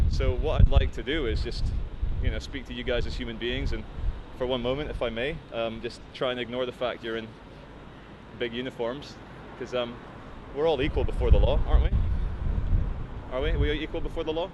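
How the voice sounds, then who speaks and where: A man's voice: mid-range voice, fairly even intonation, a little fast. A man, an articulate street activist who speaks politely and hesitantly in public. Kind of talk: street speech and chat in public. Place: outdoors on a city street. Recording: noisy city street, traffic behind.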